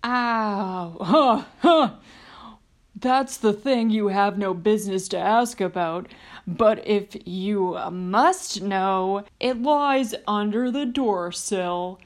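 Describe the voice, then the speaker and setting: A woman voicing a huge, heartless male giant who roars and growls his lines slowly. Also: mid-range voice, lightly acted. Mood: gruff.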